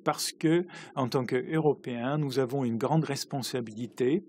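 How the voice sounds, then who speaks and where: A man doing simultaneous interpretation of parliamentary speeches, halting, with uneven pacing and frequent restarts. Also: medium pitch, darkish tone, slight background noise.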